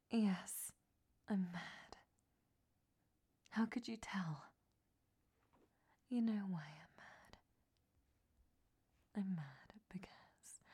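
A woman's voice: lowish voice, warm tone, husky. A woman whispering a roleplay monologue to a listener, scolding him and then turning tender and confessional.

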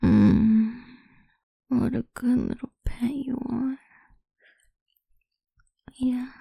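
A woman speaking in a soft, coaxing, mostly whispered voice for an intimate ASMR roleplay. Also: on the high side, little pitch movement, takes it slowly, creaky, slightly rough, no room sound, dull audio.

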